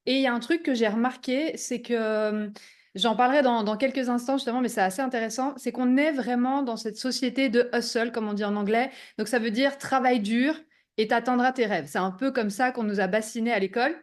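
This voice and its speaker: Woman, young adult, from Switzerland, business coach, slightly high voice, energetic pitch, video call. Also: brisk. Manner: energetic, sales-pitch tone, sprinkles English business words. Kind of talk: online workshop presentation. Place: remote video call from abroad.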